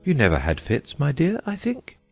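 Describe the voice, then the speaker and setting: A man doing a gravelly, muttering king. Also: on the high side, huge pitch swings, moderate pace, soft background music, pitched above the performer's own voice, heavily acted. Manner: muttering, self-satisfied. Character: fussy, muttering old storybook king.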